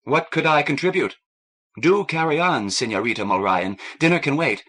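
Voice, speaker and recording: A man's voice: on the high side, animated, moderate pace, a bit bright, pitched above the performer's own voice. A man voicing a cold, rigid, haughty woman scientist in an audiobook, in a stiff, clipped manner. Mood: icy.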